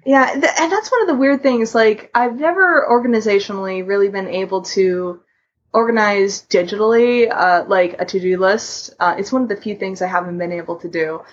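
Woman chatting haltingly on a lo-fi podcast. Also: mid-range voice. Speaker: podcast co-host. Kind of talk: casual chat. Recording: dull lo-fi voice recording.

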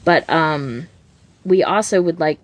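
A woman's voice: low voice, slow pace. A woman co-hosting a podcast in casual chat, rambling along with lots of "like" and "you know". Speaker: podcast co-host.

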